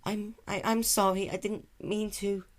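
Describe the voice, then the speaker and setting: A man voicing a temperamental little female filly in a dramatic story reading, with a childish, halting manner. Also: high voice, little pitch movement, pitched above the performer's own voice. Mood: meek, upset.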